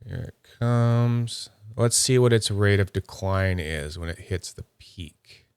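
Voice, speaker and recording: Male reviewer, slightly low register, dry close sound. Casual and upbeat, thinks aloud while unboxing. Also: slow, clear, resonant tone, dry recording. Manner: chatty, thinks aloud while handling items; nerdy and upbeat. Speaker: YouTube fidget toy reviewer.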